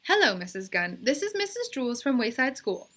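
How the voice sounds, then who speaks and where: A woman's voice: a little high, sing-song, fairly quick, bright, forceful tone, voice pushed up, exaggerated voice. A woman voicing a kindly but firm female schoolteacher in a storybook, coaxing and reassuring. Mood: polite, firm.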